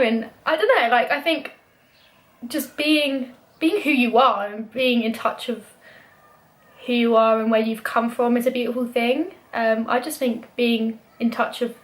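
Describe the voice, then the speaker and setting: A woman's voice: on the high side, a bit bright. A young British woman vlogging, talking casually and openly with many "like" fillers. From Britain. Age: young adult. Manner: chatty, lots of "like" fillers. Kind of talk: casual chat.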